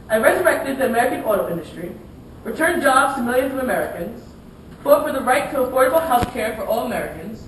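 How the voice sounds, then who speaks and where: Woman doing a confident president in a puppet debate, far from mic, super echoey. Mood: assured. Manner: declarative campaign speechmaking. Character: male US president, confident candidate defending his record. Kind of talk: scripted student puppet show debate. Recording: echoey room, distant mic, rumbling noise.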